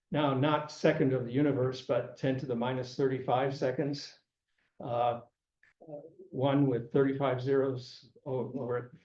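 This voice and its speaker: An older man giving a classroom lecture, halting and repeating words, who is losing his voice and keeps clearing his throat. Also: medium pitch, mellow. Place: lecture room. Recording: echoey room, dull lo-fi sound.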